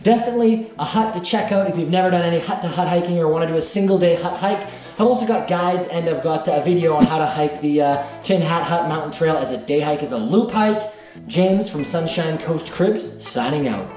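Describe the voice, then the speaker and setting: A man's voice: fairly high pitch, fairly quick, poor audio. A young man chatting casually and playfully to a handheld camera as a hiking vlogger, with enthusiasm. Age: young adult. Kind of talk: casual outdoor vlog chat. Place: mountain trail outdoors and small wooden hut. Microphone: handheld action camera at arm's length. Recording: background music under the voice.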